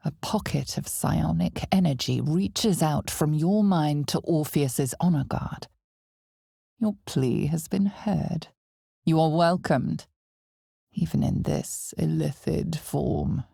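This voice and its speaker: A woman playing the ominous, wry narrator of a dark fantasy game, with measured, deliberate, dramatic delivery. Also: deep voice, creaky, slightly rough, dry recording, studio quality, heavily acted.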